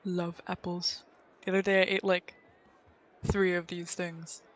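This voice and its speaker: A man's voice: sits high, level tone, a little slow, a bit bright. A man chatting casually as a co-player on a game stream, playful and rambling. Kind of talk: casual chat.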